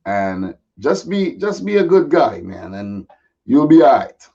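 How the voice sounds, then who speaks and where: A man with a slightly raspy voice chatting casually and in a laid-back way as a guest football pundit. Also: medium pitch, sing-song, a little slow, warm tone. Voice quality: a little raspy. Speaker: guest football pundit, Manchester City fan. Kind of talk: casual chat. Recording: dull, lo-fi audio.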